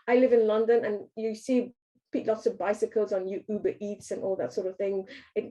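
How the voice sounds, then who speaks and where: A woman's voice: mid-range voice, mellow, poor audio. A woman from Trinidad and Tobago, giving a business workshop talk, hesitant with frequent ums and restarts.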